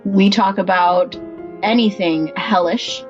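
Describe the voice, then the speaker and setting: Slow, deadpan woman in a podcast promo. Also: mid-range voice. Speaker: podcast co-host. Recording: dull lo-fi promo with loud music.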